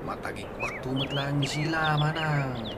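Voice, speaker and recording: A man's voice: a little high, steady tone, moderate pace, background noise, lightly acted. A man playing a lovestruck, defensive and sulky younger brother in a radio drama, with an Ilocano accent.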